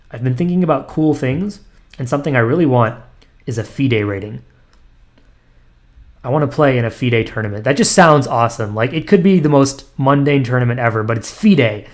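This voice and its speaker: A young man at a medium pitch talks through an online chess game, half to himself. He trails off into ums, restarts his sentences and makes fun of his own play. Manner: self-deprecating, muttering analysis with frequent ums and restarts. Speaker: chess streamer. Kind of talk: thinking aloud while playing blitz chess online.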